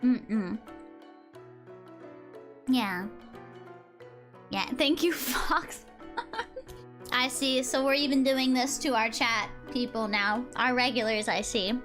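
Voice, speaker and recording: A young woman streaming live, chatting with her viewers and reading documents aloud, her voice slightly hoarse, giggly and stumbling over words. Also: a little high, takes it slowly, long pauses, fairly bright tone, faint music behind. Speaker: VTuber streamer.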